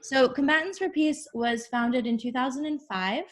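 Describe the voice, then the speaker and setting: A woman who directs a nonprofit gives an unscripted introduction in a plain conversational tone, pausing often on "um". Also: high-pitched. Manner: frequent "um" pauses, plain conversational tone. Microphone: webinar call.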